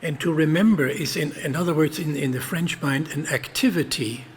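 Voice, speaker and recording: A man whose first language is German, giving a slow, deliberate and reflective speech on a theatre stage. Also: on the high side, big-room sound. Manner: slow, deliberate, explaining words. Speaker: speaker.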